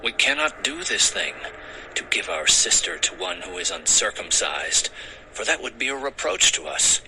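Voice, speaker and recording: An actor playing an indignant, unyielding brother of an ancient clan in an audio Bible drama, speaking firmly. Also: male voice, sits low, very animated, normal speed, bright, forceful tone, slight background noise, exaggerated voice, poor audio. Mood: firm refusal.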